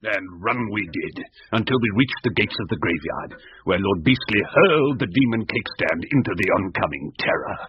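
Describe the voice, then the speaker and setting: Casting: male actor as pompous British gentleman narrator for spoof gothic radio, low voice with big swoops, muffled vintage sound. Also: lively intonation, normal speed, close to an everyday voice. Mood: grave, ominous. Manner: grave, pompous storytelling, formal florid phrasing. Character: Wellborn, melodramatic gentleman recounting a horror tale, easily panicked. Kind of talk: mock-gothic comic radio drama narration and acting. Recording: muffled vintage radio recording, faint noise.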